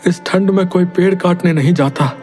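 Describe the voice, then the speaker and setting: Man voicing a high-pitched, weary poor villager. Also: moderate pace, darkish tone, faint music behind, close to an everyday voice. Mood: weary, patient. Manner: humble, plaintive, resigned. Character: poor, weary, patient male villager labourer. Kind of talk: Hindi animated moral story voice acting.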